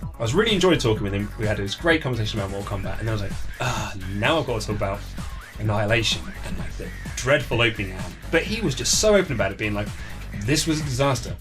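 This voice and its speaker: A man's voice: lowish voice, lively intonation, fast. A British man in his thirties telling anecdotes in a casual interview, acting out quotes as he goes. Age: 30s. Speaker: interview guest, author. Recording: music playing behind the voice. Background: background music.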